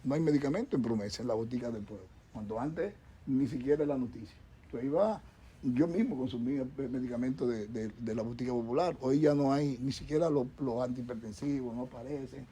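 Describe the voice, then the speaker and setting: A man's voice: medium pitch, brisk, dark tone, slight background noise. A middle-aged man with a Dominican accent, a doctor speaking as a guest on a talk show panel, rambling with many restarts and repeated words. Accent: Dominican Spanish. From Dominican Republic.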